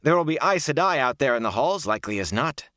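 A man voicing a fierce young village wise woman with a sharp, touchy, bossy manner in a fantasy audiobook. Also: on the low side, sing-song, moderate pace, no room sound, clean studio sound, pitched above the performer's own voice. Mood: bossy, exasperated.

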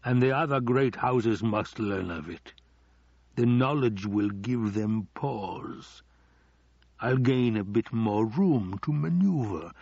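A man voicing a scheming, silkily menacing older nobleman in an audiobook, with slow, drawn-out taunts. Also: medium pitch, lively intonation, gravelly, a little mellow, no echo, lo-fi sound, full character voice.

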